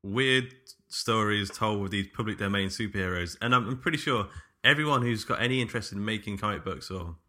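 A man's voice: lowish voice, brisk, bright, forceful tone. A man hosting a podcast in casual chat, feeling his way through sentences with hesitant enthusiasm. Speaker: podcast host.

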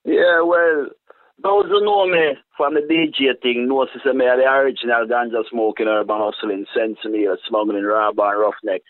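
A man from England with a Jamaican patois accent mixed with London speech, a reggae DJ chatting as an interview guest in a relaxed, halting way. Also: mid-range voice. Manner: relaxed, halting, restarts phrases. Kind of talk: casual interview chat. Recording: phone-like narrow sound.

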